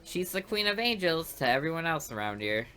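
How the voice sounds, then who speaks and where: A woman narrates a visual novel in first person with a dry, sarcastic edge. Her voice sits low but has a bright, clear tone, and it moves up and down a lot. Faint music plays underneath. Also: sing-song, fairly quick. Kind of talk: reading a visual novel aloud.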